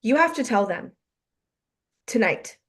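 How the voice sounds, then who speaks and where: A woman's voice: on the high side, sing-song, a little slow, slightly rough, full character voice. A woman playing a hurt, stern young woman in a staged play reading, accusing and emphatic. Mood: firm. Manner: emphatic, repeating herself, accusing.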